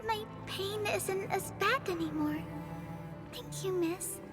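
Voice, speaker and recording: An actress playing a frail, timid little sick girl in a video game, speaking weakly and haltingly. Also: very high voice. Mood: tired, grateful. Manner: halting, weak, polite. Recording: music behind.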